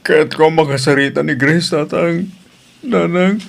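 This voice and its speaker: A man's voice: on the high side, unhurried, vocal fry, faint music behind. A man playing a hurt, pleading young husband and father in an audio drama, with an Ilocano Filipino accent.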